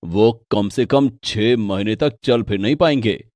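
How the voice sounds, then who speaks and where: A man voicing a grave, matter-of-fact hospital doctor with a measured, serious manner in a storybook tale. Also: on the low side, darkish tone, no room sound, natural voice.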